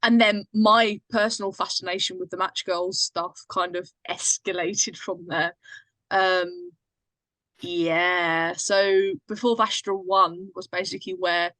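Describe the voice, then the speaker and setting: A woman's voice: fairly low pitch, level tone, takes it slowly, sharp tone, dry recording, poor audio. A woman chatting casually as a guest writer and actress, explaining things informally. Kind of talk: casual chat.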